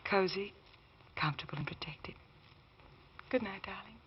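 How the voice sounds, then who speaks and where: An actress playing a devoted, gently firm wife in 1940s radio drama style, with a breathy, creaky voice. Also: female voice, medium pitch, moderate pace, slight background noise, close to an everyday voice. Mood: tender, resolute. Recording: dull, muffled old radio recording.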